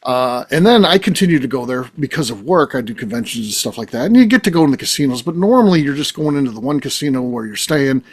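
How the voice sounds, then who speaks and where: A man's voice: mid-range voice, expressive, fast, hardly any pauses, husky. A man in his fifties hosting a podcast, chatting casually and rambling with many restarts and fillers. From United States. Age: 50s. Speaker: podcast host. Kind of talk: casual chat.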